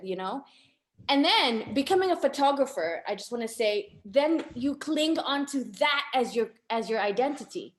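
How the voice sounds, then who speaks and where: A woman in her thirties from Tanzania, talking openly and reflectively as a guest on a video call from home, with many fillers. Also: fairly high pitch. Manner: open, reflective, lots of fillers. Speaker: guest photographer. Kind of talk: casual discussion. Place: home room. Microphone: video call laptop camera. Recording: echoey room.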